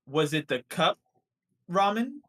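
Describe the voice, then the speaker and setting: A man's voice: sits high, big pitch swings, takes it slowly, a bit bright. A young man in a casual group chat, asking wry questions.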